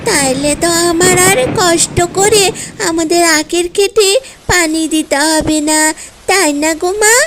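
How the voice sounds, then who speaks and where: A woman's voice: extremely high pitch, unhurried, noisy background. A woman voicing a sweet, eager little fox daughter in a cartoon story, with a pleading manner. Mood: delighted. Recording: music under the voice.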